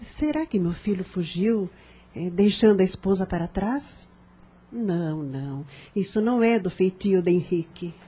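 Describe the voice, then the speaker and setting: A woman playing a devout, emotional elderly mother and grandmother in a radio drama, with a tender, husky delivery. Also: lowish voice, expressive, a little slow, mellow, full character voice. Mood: worried, wondering.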